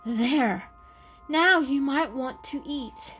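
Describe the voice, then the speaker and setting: A woman's voice: high-pitched, slow, fairly bright tone, soft background music, voice pushed up. A woman voicing a patient, protective adult female cat who coaxes in a controlled, motherly way in an animal storybook reading. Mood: firm, strained. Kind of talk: children's animal story character voice.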